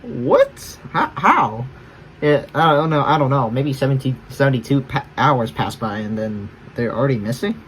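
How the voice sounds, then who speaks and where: A man's voice: medium pitch, very animated, moderate pace, a bit bright, a little hiss or hum, poor audio. A man hosting a reaction video with casual, rambling commentary, often correcting himself.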